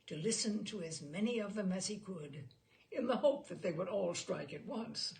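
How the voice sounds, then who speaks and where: A woman reading a literary short story aloud expressively, with theatrical emphasis on key words. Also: medium pitch.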